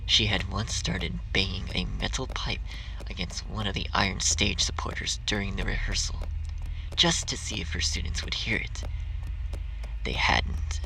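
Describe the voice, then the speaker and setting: A man's voice: low-pitched, low-quality recording. A man reading a scripted story as its narrator.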